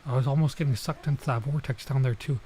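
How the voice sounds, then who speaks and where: A man's voice: mid-range voice, steady tone, fast, mellow. A man doing a let's play, reading visual novel text aloud and adding casual, off-the-cuff comments, sometimes stumbling over lines.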